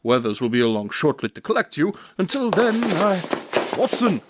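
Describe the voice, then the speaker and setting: A man acting a confident, formally polite gentleman detective in an audio drama, with an emphatic delivery. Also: high-pitched, very animated, moderate pace. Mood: confident, brisk. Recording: noisy audio drama scene with siren.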